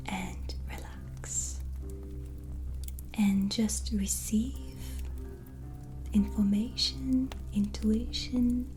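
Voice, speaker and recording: A woman as a slow, whispering gentle witch. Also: mid-range voice. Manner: slow, soothing, intimate, addressing the listener as guest. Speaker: ASMR roleplay performer. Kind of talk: soft-spoken whispered ASMR roleplay. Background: soft ambience.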